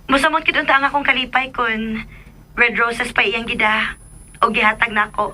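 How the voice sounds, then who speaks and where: Very bright, girlish young daughter. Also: on the high side, fairly even intonation, normal speed. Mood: teasing, sweet. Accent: Filipino. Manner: girlish, affectionate, teasing. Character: sweet, bubbly, lovestruck young daughter. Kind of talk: Philippine radio drama acting.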